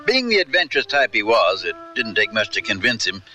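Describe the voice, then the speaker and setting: An actor playing a fond, amused storyteller narrating an old West tale in a radio drama. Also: male voice, lowish voice, very animated, a little fast. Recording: distant, loud music behind.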